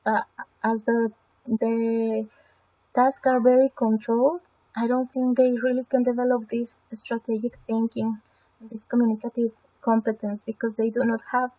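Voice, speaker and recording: A fairly high-voiced woman whose first language is Spanish talks through an evaluation in a mellow, soft tone. She pauses often, fills gaps with "um" and "uh", and restarts her phrases. The recording sounds dull and lo-fi. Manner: hesitant, with frequent fillers and self-corrections. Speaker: student presenting a website evaluation. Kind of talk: semi-scripted spoken evaluation.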